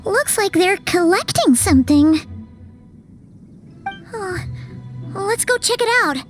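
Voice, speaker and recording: Tiny floating fairy companion for a game: extremely high, animated, exclaiming. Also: female voice, takes it slowly, soft background music, heavily acted. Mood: curious. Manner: speaks of herself in third person, exclaiming. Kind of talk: video game voice acting.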